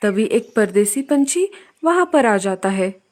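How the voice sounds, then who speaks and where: A woman storyteller reading scripted narration for a children's animated tale. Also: medium pitch, unhurried.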